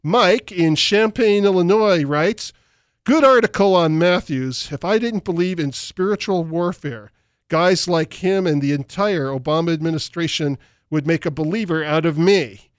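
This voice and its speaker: A man's voice: fairly high pitch, no echo, studio quality. A man hosting a talk radio show, delivering opinionated commentary and reading listener emails aloud in an emphatic way. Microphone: studio microphone.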